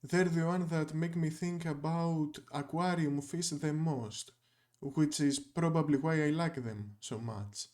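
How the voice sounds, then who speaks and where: A man's voice: fairly high pitch, measured pace, darkish tone. A young man whose first language is Greek, narrating a scripted video essay with dry, wry asides.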